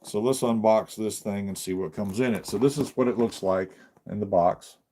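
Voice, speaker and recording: A man giving casual unboxing commentary, haltingly thinking aloud as he hosts his video. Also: fairly low pitch, warm tone, slight background noise.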